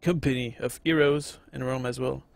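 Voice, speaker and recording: A man's voice: mid-range voice, fairly even intonation, moderate pace, creaky, dry recording. A man in his thirties with a Quebec French accent, casually commenting on a strategy game and chatting with viewers, rambling with many fillers. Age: 30s. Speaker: gaming video host. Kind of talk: casual let's-play commentary.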